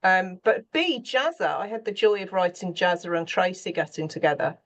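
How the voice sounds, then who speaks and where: A woman speaking as a scriptwriter on a panel, candid and thoughtful, often pausing mid-sentence as she reflects. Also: lowish voice, expressive, muffled recording. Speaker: scriptwriter for radio and theatre.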